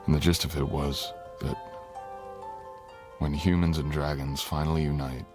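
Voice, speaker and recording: A man's voice: very deep voice, little pitch movement, normal speed. A man playing a courtly, teasing, tender dragon king in human form, speaking intimately as a lover in an ASMR roleplay. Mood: candid, wry. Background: music.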